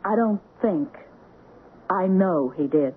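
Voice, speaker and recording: An actress with an American accent playing a dreamy, strong-willed wife, intense and halting in a 1970s radio drama. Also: female voice, lowish voice, animated. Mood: mystified, intense. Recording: tinny, noisy 1970s radio broadcast recording.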